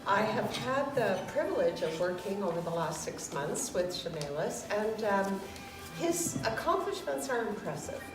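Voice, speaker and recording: Female presenter, animated intonation, hesitant phrasing, introducing a speaker from a distant mic with music behind. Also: medium pitch, measured pace, reverberant. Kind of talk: speech of introduction. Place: room at a public event.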